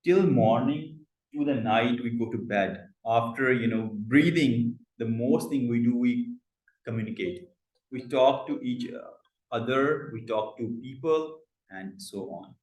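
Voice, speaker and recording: A man's voice: mid-range voice, unhurried, a little mellow, echoey room, low-quality recording. A man from Pakistan hosting an online club meeting, speaking with a stammer and frequent fillers over a video call. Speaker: meeting host.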